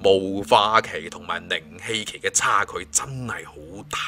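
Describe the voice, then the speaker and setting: Animated Hong Kong Cantonese male storyteller. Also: mid-range voice, slight background noise. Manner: theatrical, emphatic delivery. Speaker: audiobook storyteller. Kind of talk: dramatic scripted narration of a martial fantasy story.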